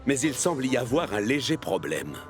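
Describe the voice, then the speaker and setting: A man's voice: medium pitch, big pitch swings, fairly quick, music in the background, lightly acted. A man acting as the storybook narrator of a children's cartoon, reading scripted narration. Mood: storytelling.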